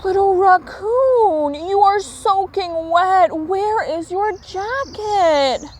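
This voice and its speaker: A woman voicing a concerned father raccoon in a storybook, fussing and gently scolding with drawn-out words. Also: very high voice, voice pushed up, full character voice.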